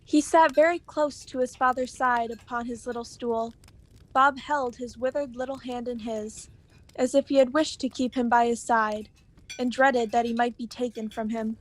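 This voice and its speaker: A woman's voice: a little high, steady tone, moderate pace, low-quality recording. A teenage woman narrating a radio play from a script.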